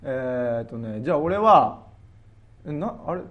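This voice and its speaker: A man's voice: mid-range voice, very animated, a little slow, dull audio. A young man co-hosting a radio show with casual, teasing, cocky comedic banter.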